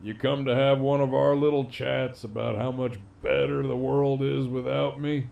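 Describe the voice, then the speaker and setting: A man's voice: medium pitch, flat delivery, normal speed, creaky, phone-quality sound. A man playing a sly, cocky captive villain in an audio drama, with a harsh, scratchy voice and a sardonic drawl. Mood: mocking. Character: sly cocky imprisoned male villain with damaged throat.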